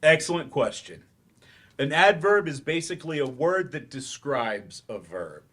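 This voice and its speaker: A man acting in a staged play reading as a patient, slightly awkward English teacher who explains in measured steps with hesitant asides. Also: on the high side, animated, fairly bright tone. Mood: instructive.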